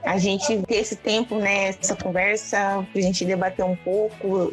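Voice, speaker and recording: Brazilian woman co-hosting a podcast. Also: medium pitch. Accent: Brazilian Portuguese. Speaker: podcast co-host. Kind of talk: casual podcast chat and outro.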